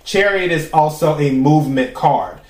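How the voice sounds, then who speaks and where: A man with a raspy voice hosting a podcast, giving a casual, chatty tarot reading and musing aloud with drawn-out pauses. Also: on the high side, lively intonation. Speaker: tarot reader and podcast host. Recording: roomy echo.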